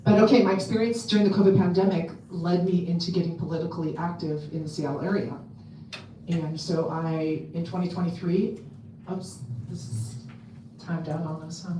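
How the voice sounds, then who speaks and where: A woman with a loud voice giving a casual talk to a convention audience, chatty and full of ums and restarts. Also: on the low side, steady tone, normal speed, a little hiss or hum. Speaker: convention speaker. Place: convention hall. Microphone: handheld mic on a stand. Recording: echoey hall, mic at a distance.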